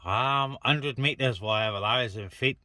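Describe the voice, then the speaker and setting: A man's voice: medium pitch, normal speed, bright, forceful tone. A man chatting casually on CB radio, hosting an open call for other stations. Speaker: CB radio operator hosting a net. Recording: very noisy CB radio hiss and static.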